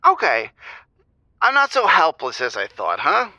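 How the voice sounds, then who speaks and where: A man's voice: fairly high pitch, huge pitch swings, unhurried, sharp tone, no room sound, lo-fi sound, heavily acted. A man playing a meek, downtrodden pushover of a cat owner calling an advice show, hesitant and self-deprecating. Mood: tentatively hopeful. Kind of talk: improvised comedic phone-in.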